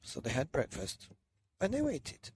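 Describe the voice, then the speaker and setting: A man reading a children's bedtime story in a loose, dramatic way with drawn-out emphasis. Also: fairly high pitch, huge pitch swings, a little mellow, husky, no room sound. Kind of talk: children's story narration, partly improvised.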